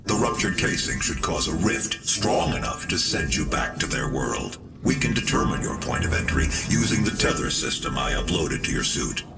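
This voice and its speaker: An actor playing a grave, measured director of a sci-fi research facility in a video game, with a gravelly voice. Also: male voice, extremely low pitch, big pitch swings, far from the mic, over loud music, full character voice. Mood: urgent, instructing. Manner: measured, solemn. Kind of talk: video game cutscene acting.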